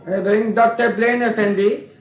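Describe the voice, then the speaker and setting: A deferential servant, played by a man answers in a high, clipped voice and broken phrases. The old radio recording sounds dull and echoes around the room. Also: steady tone, normal speed, soft background music, lightly acted. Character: deferential male household servant.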